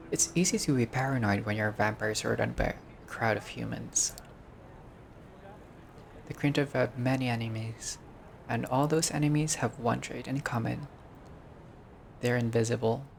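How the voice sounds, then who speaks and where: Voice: mid-range voice, creaky. A gamer reading a visual novel aloud in a casual let's-play style, breaking off into joking, sweary asides.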